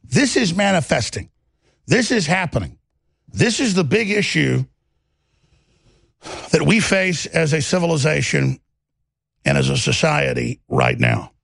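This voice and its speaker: A man with a gravelly voice and a Texas twang, delivering impassioned political commentary in a confident, aggressive, emphatic way, piling up lists and rhetorical questions like a talk show host. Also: a little high, wildly swooping pitch, unhurried, pauses often, fairly bright tone, no echo, clean studio sound. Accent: Texan.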